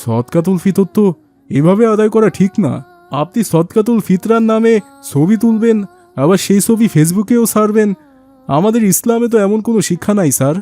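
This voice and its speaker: A man plays a poor, humble rickshaw puller for a cartoon. His voice is high for a man, and he speaks deferentially and plainly in a rural Bangladeshi dialect. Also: expressive, normal speed, mellow. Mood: earnest, disapproving. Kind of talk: cartoon dialogue acting.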